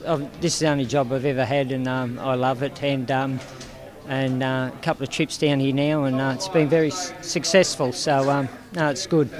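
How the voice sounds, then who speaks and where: A man's voice: mid-range voice, flat delivery, moderate pace, crowd noise. An Australian man from the Hunter Valley, a jockey, talking in an easygoing, hesitant way after a race. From Hunter Valley, New South Wales. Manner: easygoing, hesitant with many ums. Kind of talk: post-race interview.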